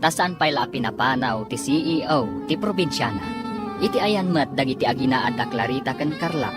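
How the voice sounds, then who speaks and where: Woman announcer for a radio soap. Scripted, theatrical, highly animated delivery with music behind. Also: brisk. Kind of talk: dramatic scripted radio narration.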